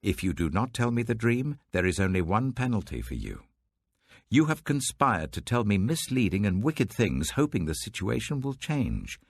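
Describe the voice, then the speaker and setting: Man voicing an imperious gravelly British king. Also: fairly low pitch, sing-song, no room sound, studio quality, pitched above the performer's own voice, full character voice. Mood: stern, threatening. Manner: commanding, deliberate. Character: imperious, threatening ancient Babylonian king.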